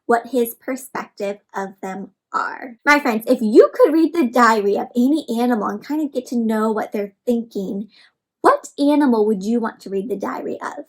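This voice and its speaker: A woman's voice: fairly high pitch, normal speed, poor audio. A woman hosting an interactive read-aloud for children, speaking expressively and instructively and often putting questions to her young listeners.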